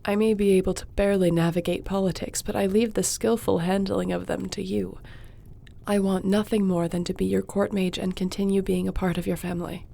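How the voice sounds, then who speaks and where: A woman playing a devoted sorceress and court mage who speaks softly and measuredly. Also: mid-range voice, level tone, creaky. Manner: soft, measured, laughs easily. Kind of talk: audio roleplay acting.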